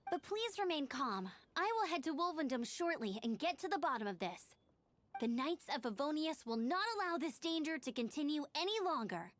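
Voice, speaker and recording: Dutiful young female knight, upbeat, resolute, high pitch, very lively intonation, video game. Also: normal speed. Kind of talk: video game character dialogue.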